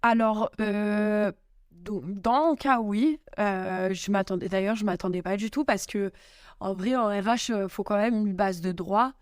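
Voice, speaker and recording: A young woman speaks in a smooth, clear voice, answering questions casually and thinking aloud. She hesitates often and restarts, filling gaps with small sounds. The sound is dry and close. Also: mid-range voice, measured pace, no echo. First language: French. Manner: hesitant, many fillers and restarts. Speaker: guest. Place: home in Italy.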